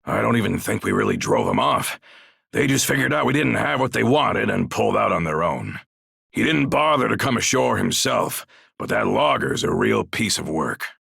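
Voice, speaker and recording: An actor playing a brash, blunt Norman warrior chief in a video game, gravelly and husky, with a gruff, folksy, commanding way of talking. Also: fairly low pitch, expressive, normal speed, fairly bright tone, no room sound, clean studio sound, heavily acted. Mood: gruff, frank. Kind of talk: video game cutscene acting.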